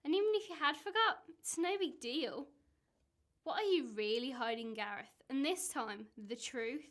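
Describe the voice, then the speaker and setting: Woman acting a high-voiced, suspicious, exasperated wife. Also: lively intonation, moderate pace, fairly bright tone. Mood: sceptical, accusing. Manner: accusing, sarcastic. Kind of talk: amateur student radio play acting.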